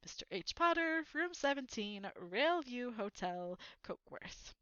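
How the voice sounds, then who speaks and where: A woman's voice: fairly high pitch, sing-song, unhurried, bright, forceful tone, clean tone, dry recording, dull audio, heavily acted. A woman voicing a polite, matter-of-fact female hotel owner in a storybook, with colloquial phrasing. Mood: politely inquiring.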